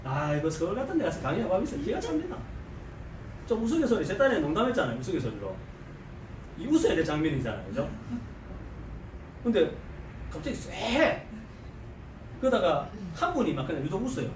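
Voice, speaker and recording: A middle-aged man with a strong Gyeongsang accent gives a joking, animated seminar lecture, often quizzing his audience with rhetorical questions. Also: high-pitched, fairly quick, long pauses, a little hiss or hum. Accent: Gyeongsang Korean. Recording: echoey hall, mic at a distance, faint ambience.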